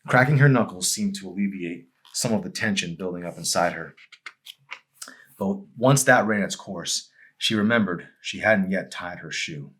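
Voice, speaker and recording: A man, an author presenting and reading his own novel, with dramatic, deliberate phrasing. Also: low voice, a bit bright, breathy edge. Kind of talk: audiobook-style narration with casual introduction.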